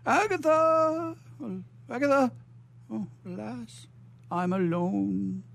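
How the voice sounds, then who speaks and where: An actor playing a gentle, blind old father in a florid, melodramatic period stage drama, with drawn-out exclamations. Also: very high voice, creaky, heavily acted. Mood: plaintive, anxious. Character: blind, gentle, aged father living in poverty. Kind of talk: melodramatic 19th-century stage acting. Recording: audible background noise and hum.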